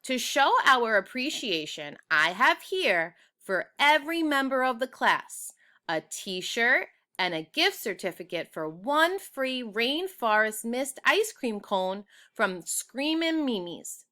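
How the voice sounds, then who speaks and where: A woman's voice: a little high, big pitch swings, slow, pushed and bright, voice pushed up, heavily acted. A woman voicing a proud, formal female school official making a ceremonious, emphatic award speech in a storybook.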